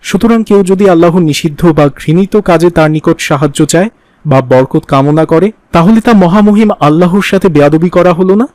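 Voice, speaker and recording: Cartoon father, played by a man: high voice, stern yet teaching, dry recording. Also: normal speed, darkish tone, no echo, lightly acted. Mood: instructive. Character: stern but instructive father explaining religious manners. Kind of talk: didactic cartoon dialogue.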